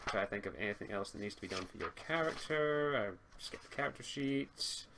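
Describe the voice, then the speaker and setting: A man speaking casually as game master of a tabletop roleplaying game, halting and repeating words as he thinks. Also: on the low side, lively intonation, background noise. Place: home online voice call stream.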